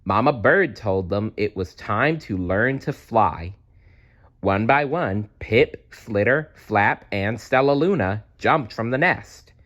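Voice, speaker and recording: A man reading a children's storybook aloud as narrator, in a dramatic, deliberate way. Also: fairly low pitch, expressive, a bit bright, lo-fi sound. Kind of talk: expressive children's read-aloud.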